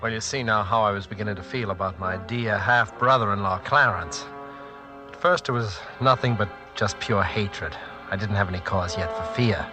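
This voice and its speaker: A fairly low male voice played by a man for a blunt, protective family man in an old radio thriller, with music in the recording. He is suspicious and plain-spoken, in period drama style. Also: moderate pace, lightly acted. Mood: grim recounting. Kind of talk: 1940s radio drama acting and narration. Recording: vintage 1940s radio recording.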